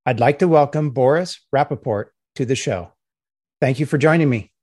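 A man hosting an interview podcast, speaking in a measured way and asking structured questions. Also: mid-range voice, darkish tone, breathy edge, dry recording, studio quality. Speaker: podcast host.

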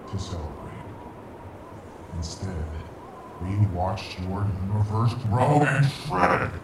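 A man playing a vengeful, grieving ancient interdimensional monster in a sci-fi audio drama, speaking in grandiose, formal menace. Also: sits low. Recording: noisy, echoing, distant-sounding.

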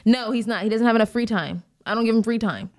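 A woman in her thirties with a squeaky voice, co-hosting a podcast in casual chat and laughing off teasing with many fillers. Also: quick pace. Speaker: podcast co-host.

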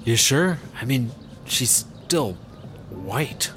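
A man's voice: medium pitch, expressive, very slow, a bit bright, soft background music. A man playing an anxious, earnest leader of misfit travelers in a sci-fi comedy audio drama, hesitant and pleading. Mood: uncertain.

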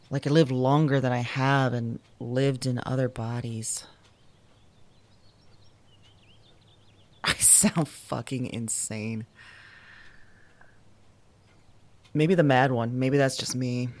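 An actress playing a sleepless, haunted woman who confides her dreams to an audio diary, halting and drowsy, sometimes slipping toward a whisper. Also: very deep voice, no echo. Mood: groggy, rattled. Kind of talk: intimate audio drama monologue as recorded diary.

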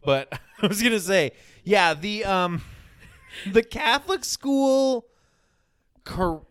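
A person with a deep voice hosting a casual podcast, talking informally and searching for words aloud. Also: animated, slow pace, dry recording. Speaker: podcast host.

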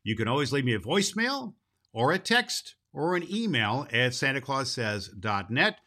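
A man playing animated, jolly old Santa Claus. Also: mid-range voice, moderate pace. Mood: cheerful, promotional. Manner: folksy, rambling, emphatic, repeats himself. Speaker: show host. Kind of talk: children's show talk.

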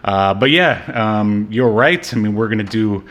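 Low-pitched man hosting a podcast. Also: lively intonation, normal speed, fairly bright tone. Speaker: podcast host. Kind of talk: casual chat about an old superhero movie.

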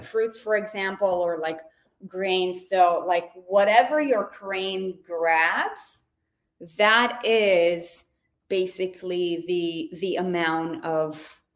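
A woman from Israel coaching on nutrition in a casual livestream, chatty, with lots of fillers and restarts. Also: lowish voice.

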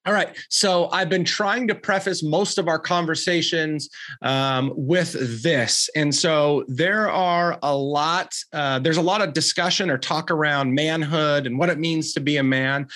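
A man's voice: fairly high pitch, steady tone, a little slow, sharp tone. A man hosting a podcast, chatty and upbeat with lots of fillers, sounding stuffy and nasal from a recent illness. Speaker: podcast host. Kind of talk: casual podcast interview.

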